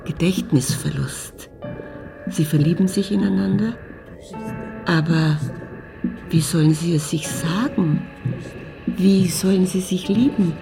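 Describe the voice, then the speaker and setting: Female narrator, low-pitched and gravelly, dramatic scripted reading over music. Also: slow pace, pauses often.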